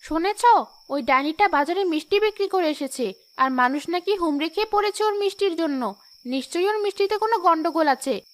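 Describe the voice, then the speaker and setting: Woman voicing a very high, spiteful jealous sister-in-law. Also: expressive, a little fast. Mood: indignant. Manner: taunting, accusatory. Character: spiteful, jealous, scheming younger sister-in-law in a fairy tale. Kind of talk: animated fairy-tale voice acting.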